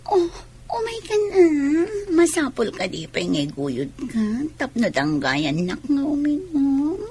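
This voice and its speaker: Slow-talking, scheming seductress. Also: female voice, high voice, huge pitch swings, a little mellow, slight background noise, full character voice. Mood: teasing, tipsy. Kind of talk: radio drama acting.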